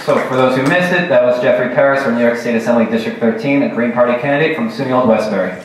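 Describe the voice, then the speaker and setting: A man's voice: mid-range voice, quick pace, slight background noise. A man who is moderating a forum announces the speakers in a plain, announcing manner. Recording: very echoey hall.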